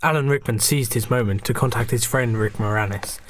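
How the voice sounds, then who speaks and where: A man's voice: mid-range voice, fairly quick. A man narrating a comic radio play on a podcast in a mock-dramatic storytelling manner.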